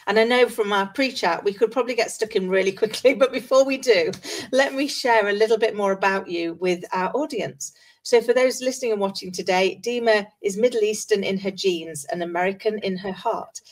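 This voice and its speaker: A woman hosts an interview podcast in a medium-pitched voice. Her delivery is effusive and encouraging, moving between introduction and friendly conversation. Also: brisk. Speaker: podcast host interviewing a guest.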